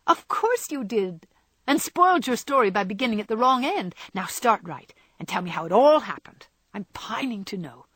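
A woman's voice: fairly high pitch, very animated, normal speed, fairly bright tone, slightly rough, dry recording, voice pushed up, full character voice. A woman voicing a spirited, teasing young woman in a storybook, given to exclaiming and mock scolding. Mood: playfully bossy.

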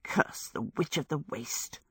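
A woman's voice: lowish voice, very animated, normal speed, a bit bright, slightly rough, dry recording, heavily acted. Woman as a grumbling, sarcastic old woman. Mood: sour. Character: grumpy, sarcastic old woman, achy and stubborn.

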